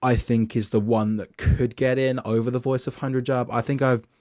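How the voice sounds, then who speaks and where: A man's voice: mid-range voice, flat delivery, normal speed, a little mellow, no echo, clean studio sound. A young man casually talking through his film award predictions, chatty and loose, with plenty of restarts, reading from his notes. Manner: reads his list off notes.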